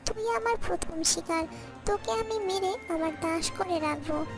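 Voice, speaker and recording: A menacing female village ghost, voiced by a woman, pitched extremely high. She is domineering and vengeful, speaking in threats. It is a cartoon horror story with clear music behind. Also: normal speed. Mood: menacing, gloating.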